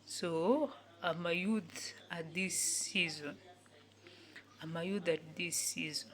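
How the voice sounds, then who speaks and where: A woman, giving an earnest motivational talk in which she repeats each phrase several times and sometimes drops to a soft whisper. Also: on the low side, slow. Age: 20s. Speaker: youth speaker.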